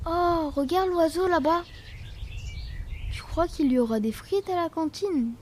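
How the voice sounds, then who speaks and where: A woman's voice: very high voice, animated, a little slow, a little hiss or hum. A woman playing a cheerful, dreamy young schoolchild in an audio drama, with an eager, singsong childlike manner. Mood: dreamy, playful. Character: cheerful, chatty young schoolchild named Avi, dreamy and affectionate. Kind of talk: French audio fiction drama.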